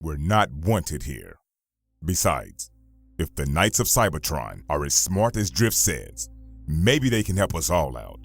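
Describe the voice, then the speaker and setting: An actor playing a stoic, resigned robot veteran who speaks calmly and deliberately in a husky voice. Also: male voice, extremely low pitch, no room sound, faint music behind, exaggerated voice.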